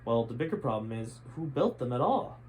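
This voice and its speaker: A man reads as a smug adventure-story explorer. He is sarcastic and challenging, in a mid-pitched voice over faint background noise. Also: very animated, a little fast, a little mellow, natural voice, poor audio. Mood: questioning. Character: smug, probing male explorer and father.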